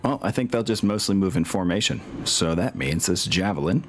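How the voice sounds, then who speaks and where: Low-voiced man running a tabletop game. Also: lively intonation, a little fast, slight background noise. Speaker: game master. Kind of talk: casual tabletop game chat.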